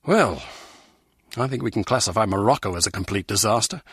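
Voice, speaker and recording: A man's voice: lowish voice, expressive, brisk, a bit bright, husky, dry recording, studio quality, exaggerated voice. A man playing a pompous, scathing spy agency official in a video game. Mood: scornful.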